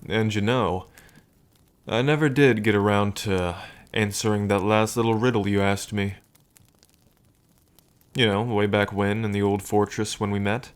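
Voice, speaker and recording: A man playing a smitten, teasing dragon king in an intimate ASMR roleplay, with unhurried, murmuring pauses. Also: lowish voice, steady tone, clean tone, close to an everyday voice. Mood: tender, teasing. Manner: unhurried, murmuring pauses, hums and soft chuckles.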